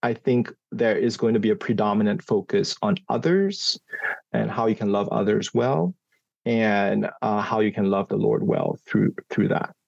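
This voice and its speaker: A man from Singapore with a lowish, gravelly voice that has a husky edge. He answers interview questions thoughtfully, laughing softly and pausing on fillers. The sound is dry and close. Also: no echo, lo-fi sound. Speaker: psychology professor, guest.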